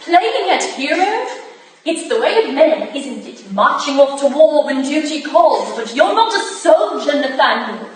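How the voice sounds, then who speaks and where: A woman's voice: high-pitched, sing-song, unhurried. A woman playing a hurt, indignant Victorian wife, speaking with pointed reproach in a staged reading of a period drama. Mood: reproachful. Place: staged reading in a room, recorded from afar. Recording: distant echoey room, faint noise.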